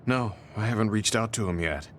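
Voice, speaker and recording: A man playing a weary, guilt-ridden veteran soldier in a video game, speaking in a measured, serious way. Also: fairly low pitch, fairly bright tone, a little hiss or hum, natural voice. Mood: resigned. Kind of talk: English video game voice acting.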